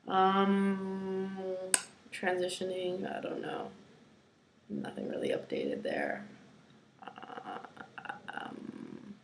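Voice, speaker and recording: A young woman with a raspy, gravelly voice sits at home and talks to the camera in a loose video diary. She rambles and hesitates, stacking fillers and sometimes sinking to a near whisper. Her voice is fairly low and rings around an echoey room. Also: a bit bright, slight background noise. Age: young adult. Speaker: vlogger. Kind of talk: casual personal video diary. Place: at home, indoors.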